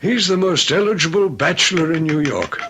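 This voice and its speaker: An actor playing a gentle, loyal middle-aged manservant in old-time radio drama, polite and hesitant. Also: male voice, a little high, expressive, normal speed, a little hiss or hum. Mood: hesitant, wistful.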